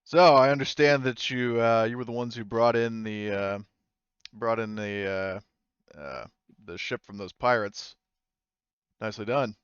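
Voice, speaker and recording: A man's voice: mid-range voice, measured pace, clean tone, dry recording, lo-fi sound, close to an everyday voice. A man playing stern, scarred space marshal. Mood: approving.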